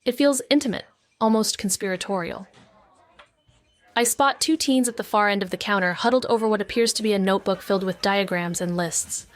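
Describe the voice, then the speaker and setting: A woman's voice: medium pitch, brisk, lightly acted. A woman as a wry young sleuth narrating. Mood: reflective. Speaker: narrator and lead character. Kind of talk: first-person noir audio drama narration.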